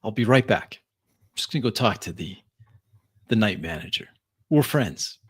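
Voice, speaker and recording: A man voicing a smooth-talking, coaxing club regular and musician in a tabletop roleplaying game. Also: on the low side, animated, normal speed, a bit bright, dry recording, clean studio sound. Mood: courteous.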